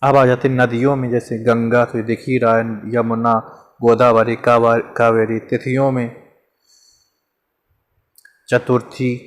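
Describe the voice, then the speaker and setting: A man's voice: mid-range voice, little pitch movement, measured pace, pauses often. A man teaching a Hindi grammar lesson in a casual, chatty way, asking questions and answering them himself. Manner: conversational, repeats examples, asks and answers himself.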